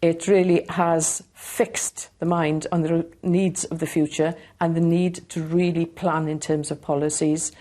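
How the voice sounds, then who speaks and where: A woman's voice: low voice, flat delivery, unhurried, hardly any pauses, mellow. A woman official giving an earnest interview answer. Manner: earnest, policy-focused. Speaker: language commissioner.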